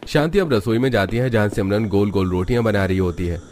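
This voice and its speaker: A man's voice: lowish voice, a little fast, a little mellow. A man narrating a scripted story as a storyteller. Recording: music under the narration.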